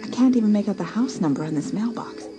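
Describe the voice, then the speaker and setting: A woman's voice: mid-range voice, expressive, fast talker, over music, close to an everyday voice. A woman playing a scheming, competitive, jealous teenage girl in a dramatised audiobook, talking to herself in theatrical exclamations. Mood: scheming, defiant. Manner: theatrical, exclamatory self-talk. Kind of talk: dramatised audiobook acting, thinking aloud.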